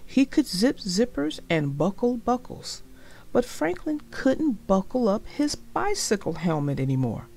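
A woman narrator reading aloud for young children in a deliberate, expressive way. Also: fairly high pitch.

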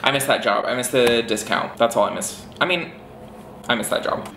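A young man in his early twenties pokes fun at his younger self in a bright, sharp voice. He talks casually at his kitchen counter, and the hard room gives his voice a noticeable echo, with a faint hum behind him. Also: mid-range voice, normal speed. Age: early 20s. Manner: wry, self-mocking. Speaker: vlogger reacting to an old video. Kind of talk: casual reaction commentary. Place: kitchen in an apartment.

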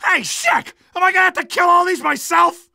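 A man playing an excitable, blunt, wisecracking resistance fighter in a video game. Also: very high voice, a little fast, vocal fry, no room sound, clean studio sound, exaggerated voice. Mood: frantic shouting. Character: jumpy, wisecracking male resistance fighter defending a tunnel. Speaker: video game minor character.

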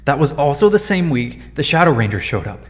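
A man playing a guarded young superhero in an audio drama, halting and defensive in delivery. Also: a little high, very animated, in a large hall. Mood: uneasy.

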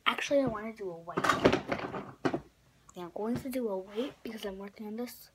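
A young woman talks through a craft project, her voice raspy and often dropping to a whisper. She rambles, corrects herself and leans on fillers. She sits close to a phone camera in a small bedroom, and the recording has a clear hiss under it. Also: mid-range voice, brisk. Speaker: craft vlogger. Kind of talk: casual craft tutorial. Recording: noisy home recording.

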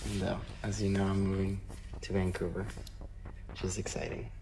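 A young man from Costa Rica, a dancer, reflecting in a searching way with frequent pauses and fillers. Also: low-pitched, completely flat delivery, warm tone, poor audio. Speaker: dancer and collaborator. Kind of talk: reflective interview talk.

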